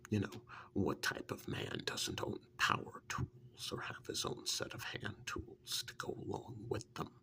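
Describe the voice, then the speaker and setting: A man voicing a witch's loyal, plain-spoken assistant in a soft-spoken ASMR role-play, half-whispering and telling his story in a halting, matter-of-fact way. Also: low-pitched, sing-song, heavily acted. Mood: calm, fond reminiscing. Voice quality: soft, breathy half-whisper with gravelly fry.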